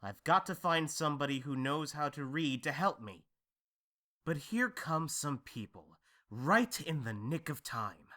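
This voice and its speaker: A man playing a humble, polite, comically flustered servant in an audio drama, plain-spoken and animated. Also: on the high side, unhurried. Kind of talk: audio drama acting of modernized Shakespeare.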